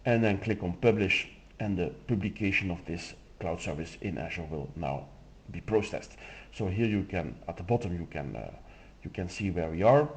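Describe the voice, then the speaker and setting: A man whose first language is Dutch, explaining a software demo step by step in a relaxed way with some fillers. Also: low voice. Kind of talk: screen-recorded software walkthrough.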